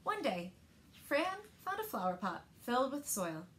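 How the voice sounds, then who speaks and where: A woman in her thirties reads picture books aloud to young children with expressive, deliberate phrasing, recorded indoors in a living room. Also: on the high side. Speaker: storyteller at a library story time.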